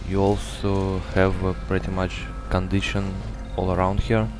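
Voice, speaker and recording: A man with a Russian accent, native Russian speaker, giving a hesitant, halting game guide commentary full of filler sounds. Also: low-pitched, completely flat delivery, over music. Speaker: gamer giving a build guide.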